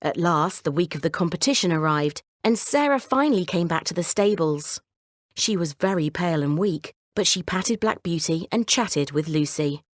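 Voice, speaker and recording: A woman narrating a scripted children's bedtime story in a measured, clearly phrased way. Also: fairly low pitch, animated, dry recording, studio quality.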